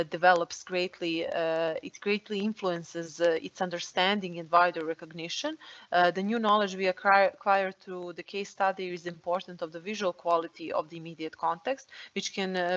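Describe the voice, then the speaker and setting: A woman giving a formal academic presentation in a measured, hesitant way over a lo-fi online call. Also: on the low side.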